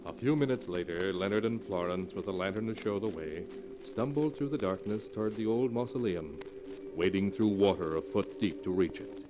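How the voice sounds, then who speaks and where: An actor playing a solemn narrator of horror tales, dramatic and ominous in 1940s radio style. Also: male voice, fairly low pitch, normal speed, lightly acted. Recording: noisy 1940s radio recording.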